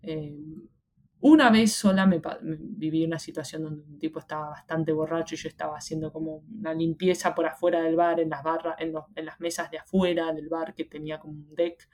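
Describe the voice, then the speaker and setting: A woman's voice: sits low, steady tone, brisk, a little mellow. A young woman from Argentina with an Argentine Spanish accent, chatting reflectively as an interview guest, with many fillers and restarts. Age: young adult. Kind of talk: casual interview chat.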